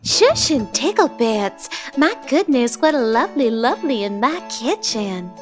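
Quirky, creepy-sweet young woman, horror comedy radio play; highish, very animated, odd affected dialect, gushes and repeats herself. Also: measured pace, soft background music. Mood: gushing, cheery. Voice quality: affected, unplaceable folksy dialect. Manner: gushing, repeats words, quirky endearments. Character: eccentric sweet-talking, sinister young woman in nightgown.

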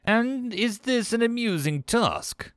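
A man's voice: extremely high pitch, lively intonation, clean tone, no echo, clean studio sound, voice pushed up, exaggerated voice. Man playing a grave, formal, suspicious alien. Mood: demanding. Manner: formal, precise, deliberate. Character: grave, suspicious male insect-like alien with many limbs.

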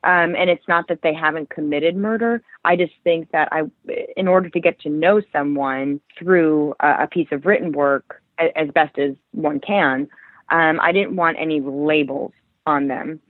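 A woman's voice: low voice, normal speed, dry recording. Guest author, 30s woman from Ohio, thoughtful, restarts a lot, dry tinny phone sound. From Ohio, Cleveland area. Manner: thoughtful, many restarts and fillers. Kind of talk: conversational interview.

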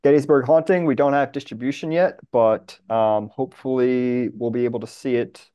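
A man's voice: mid-range voice, fairly even intonation, normal speed, dark tone, smooth. A man chatting casually as a guest on a podcast. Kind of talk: casual chat.

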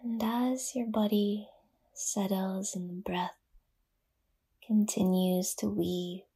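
Female meditation guide for yoga nidra. Soft, dark, breathy tone, half-whispered, unhurried phrasing with generous pauses. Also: medium pitch, steady tone.

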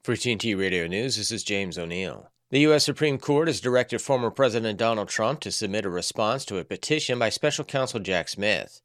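A man's voice: fairly low pitch, brisk, hardly any pauses, fairly bright tone, dry recording. Male radio newsreader, dry studio sound. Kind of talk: scripted news bulletin.

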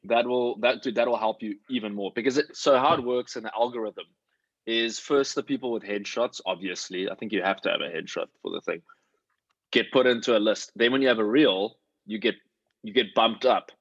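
A man's voice: on the low side, a little fast, a bit bright, slightly rough, dry recording, highs cut off. A man chatting casually as a working actor giving advice, talking in an emphatic, slangy way with plenty of swearing. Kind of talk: casual chat.

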